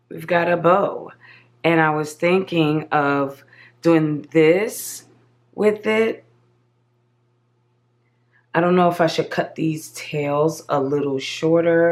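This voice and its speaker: A slightly hoarse woman hosting a casual crafting tutorial, chatting viewers through each step as she works. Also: deep voice. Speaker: craft channel host.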